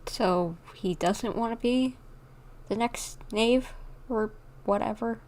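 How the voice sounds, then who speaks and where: A woman streaming a game, reacting casually with drawn-out, sympathetic asides. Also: a little high, darkish tone. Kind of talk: casual live gameplay reaction.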